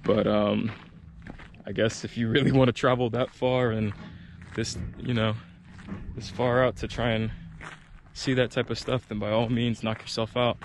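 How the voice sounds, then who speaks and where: An American man hosts a casual outdoor vlog in a medium-pitched voice. He talks in a friendly, informal way with plenty of slang. There is clear outdoor noise with vehicle sounds around him. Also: little pitch movement, moderate pace, pauses often. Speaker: vlog host. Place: outdoors in the open.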